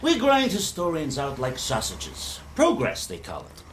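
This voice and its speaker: A man's voice: on the high side, huge pitch swings, measured pace, slight background noise, low-quality recording. A man acting a haughty, exacting history professor in a radio drama, declaiming in a pompous, imperious way. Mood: scornful.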